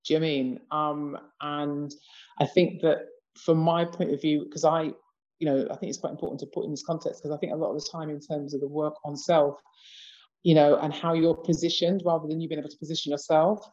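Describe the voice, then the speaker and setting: A woman's voice: sits low, level tone, brisk, a little mellow, muffled recording. A British woman in her fifties talking reflectively in a casual conversation, with many "you know" asides. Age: 50s. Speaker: discussion participant.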